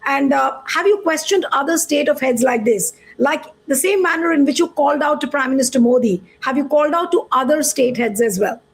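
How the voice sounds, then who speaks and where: Host: woman, bright high register, formal news manner, pushes follow-ups. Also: expressive, brisk, creaky, few pauses. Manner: formal, pressing follow-up questions. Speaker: show host. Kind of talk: news interview and programme intro.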